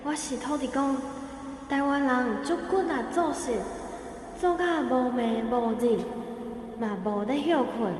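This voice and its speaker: An earnest earth god from a folk-legend play acted by a woman, declaiming a formal petition. The voice is high and rings with long reverb in a big hall, with some noise. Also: unhurried, darkish tone. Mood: earnest pleading. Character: earth god pleading earnestly for hardworking people. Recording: very echoey hall, far off, noisy.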